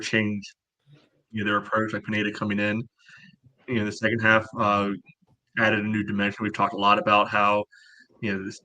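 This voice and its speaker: Male podcast co-host, bright forceful tone, little pitch movement, casual game analysis. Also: low-quality recording. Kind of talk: casual match analysis chat.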